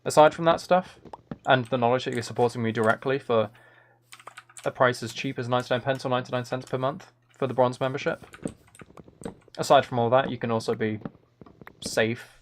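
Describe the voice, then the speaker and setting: A man with a mid-pitched voice talks casually to his live stream viewers while gaming. His delivery is dry and playfully mock-serious. There is noticeable noise behind him, with keyboard and mouse clicks. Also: steady tone, fairly quick, long pauses.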